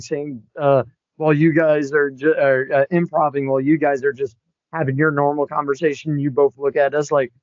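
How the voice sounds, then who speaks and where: A man of about twenty co-hosting a podcast in casual chat, excitable and given to jumping into high shouts. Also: no room sound. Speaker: podcast co-host. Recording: dull lo-fi sound, dry.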